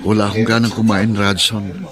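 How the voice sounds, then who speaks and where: A man's voice: fairly low pitch, little pitch movement, moderate pace, crowd noise, natural voice. A man playing a weary, apologetic husband, subdued and troubled, in a radio drama. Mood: dejected. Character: weary, apologetic husband torn between mother and wife. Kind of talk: Tagalog radio drama acting.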